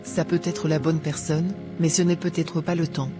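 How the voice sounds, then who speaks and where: Woman acting a devout, gently counselling mother. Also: low-pitched, level tone, moderate pace, music in the background, lightly acted. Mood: advising, pious. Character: devout, proud middle-aged mother giving gentle counsel.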